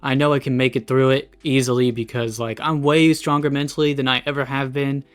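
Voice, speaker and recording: Young man, late teens, medium pitch, casual confessional style, candid, discouraged, self-deprecating, profane. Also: level tone, a little fast, clear, resonant tone. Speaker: streamer and video creator.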